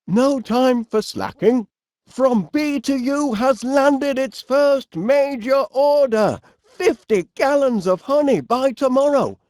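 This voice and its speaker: An actor playing a cheery, absent-minded middle-aged inventor with a Northern English accent, bumbling and chatty in an animated comedy game. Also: male voice, very high-pitched, no echo, studio quality, exaggerated voice. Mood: excited. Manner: bumbling, chatty, hesitant, mild exclamations.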